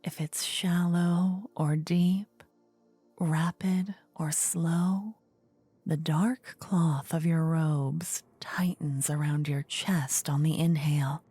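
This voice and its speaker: A woman narrating a fantasy ASMR story in a soft, soothing whisper, measured and very close to the mic. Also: low-pitched, fairly even intonation, breathy edge, no room sound, faint music behind. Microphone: close ear-to-ear ASMR microphone.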